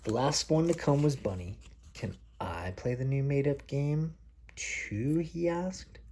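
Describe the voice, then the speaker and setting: A man's voice: medium pitch, measured pace, mellow. A man reading a picture book aloud to a child as a father, explaining things simply along the way. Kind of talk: picture book read-aloud with casual asides. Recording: faint background noise.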